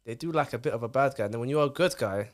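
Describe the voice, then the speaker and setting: A man talking quickly and animatedly, as a podcast guest recounting a friend's story. His voice sits in a middle register, and he fills his talk with casual slang. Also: medium pitch. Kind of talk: casual chat.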